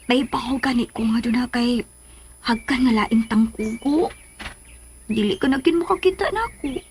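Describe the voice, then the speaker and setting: A woman acting in a radio drama as a tender, tearful woman torn by love, with a breathy, emotional delivery. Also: a little high, moderate pace, a little mellow, slight background noise, natural voice. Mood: teasing. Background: music bed.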